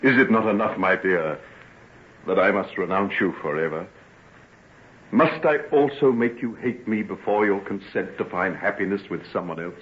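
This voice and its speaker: Gentleman doctor, earnest, formal, persuasive, very lively intonation, phone-like old radio drama. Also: low voice, moderate pace. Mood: pained, restrained. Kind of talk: old-time radio acting.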